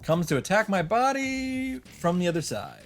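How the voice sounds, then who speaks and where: A man with a slightly high voice reads first-person narration aloud. His pitch moves around in a lively way, and his delivery is theatrical and a little halting, leaning into dramatic emphasis. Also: soft background music. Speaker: streamer reading a visual novel aloud.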